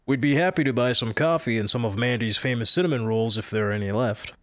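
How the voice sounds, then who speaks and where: An actor playing a calm, fair-minded middle-aged man who speaks in a measured, reasonable way. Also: medium pitch, no room sound, clean studio sound, lightly acted. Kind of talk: audio drama acting.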